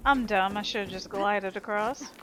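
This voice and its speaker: A woman's voice: medium pitch, moderate pace, a bit bright, a little hiss or hum. A woman giving casual, self-deprecating gameplay commentary, thinking aloud informally as she plays. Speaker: gamer, let's play host. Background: game music and sounds.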